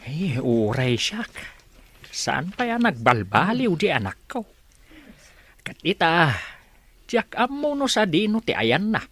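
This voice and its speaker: A man's voice: a little high, very animated, takes it slowly, long pauses, fairly bright tone, no room sound, heavily acted. A man playing an old, fretful, prayerful village father in an Ilocano radio drama, plaintive about his wayward son. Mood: exasperated, pleading.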